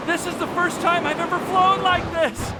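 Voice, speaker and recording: A man's voice: very high voice, flat delivery, moderate pace, heavy background noise. A man voicing a nervous, hesitant, boyish young adventurer in a video game. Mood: anxious.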